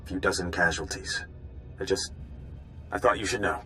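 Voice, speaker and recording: An actor playing an anxious, conscientious undercover informant in a video game, hesitant and deferential. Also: male voice, low-pitched, normal speed, pushed and bright, soft background music, rough recording. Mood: subdued, dutiful.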